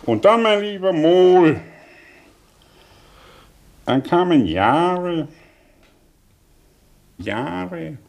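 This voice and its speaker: Tormented confessor, widely swinging pitch, slow, theatrical, creaky, self-dramatising, radio drama. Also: male voice, big pitch swings, a little mellow, exaggerated voice. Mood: agitated confession. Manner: theatrical, swooping, drawn-out phrases broken by sudden rushes. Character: haunted, self-dramatising man confessing a dark past. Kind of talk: German radio play acting.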